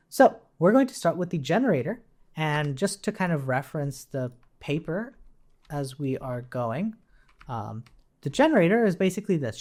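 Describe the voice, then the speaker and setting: A man working as a coding instructor, casually explaining a tutorial and thinking aloud as he goes. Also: on the high side.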